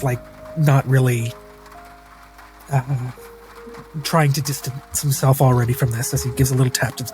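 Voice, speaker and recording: Mid-pitched man narrating his game character. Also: darkish tone. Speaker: player in a tabletop roleplaying game. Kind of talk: improvised third-person narration of his character.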